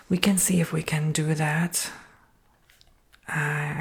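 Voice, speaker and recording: Whispering, creaky low-voiced woman in ASMR roleplay. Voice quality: breathy whisper with creaky fry. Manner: soft-spoken, reassuring customer-service patter. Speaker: ASMR roleplayer playing a robot salesperson.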